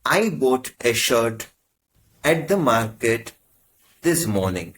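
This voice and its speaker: A man teaching as a language tutor, reading short prompts in slow, measured phrases. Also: medium pitch, sing-song, a bit bright, slight background noise. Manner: measured, carefully spaced phrases. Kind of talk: slow, deliberate lesson prompts.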